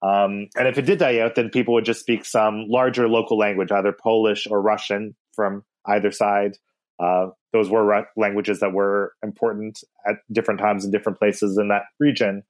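A man's voice: on the low side, little pitch movement, normal speed. A man co-hosting a podcast, talking through the weekly Torah portion in a thoughtful, rambling way with many restarts. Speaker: podcast co-host.

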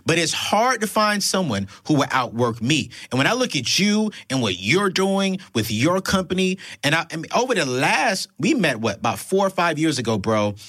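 A man's voice: on the high side, moderate pace, hardly any pauses, no room sound, studio quality. A man from South Carolina, hosting a casual podcast conversation and cheering his guest on. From Darlington, South Carolina. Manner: animated, encouraging interjections. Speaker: podcast host.

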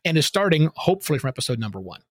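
A man's voice: fairly high pitch, big pitch swings, racing, no room sound, studio quality. A man answering interview questions in an opinionated, emphatic way, explaining his points with examples. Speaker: podcasting guest expert.

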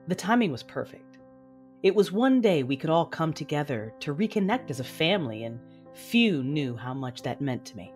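A woman playing a proud, imperious mother in a sci-fi audio drama, narrating formally and deliberately. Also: deep voice.

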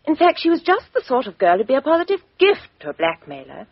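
A woman playing a poised, frank young woman in a 1950s radio drama, crisp and emphatic, with a husky voice on a dull old recording. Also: sits high, huge pitch swings, fast, no room sound, full character voice. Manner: crisp, emphatic well-spoken delivery.

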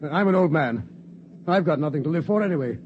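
A man's voice: on the high side, animated, fairly quick, a little hiss or hum, lightly acted. An actor playing an old man among prisoners, speaking in a proper, fussy way in a 1950s radio drama. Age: older. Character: old British male prisoner, resigned and brave. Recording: dull old radio broadcast.

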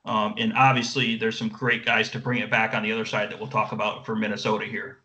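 A man's voice: fairly low pitch, completely flat delivery, fast, sharp tone. An American man giving a conversational fantasy sports breakdown as an analyst, explaining at length in a talkative way.

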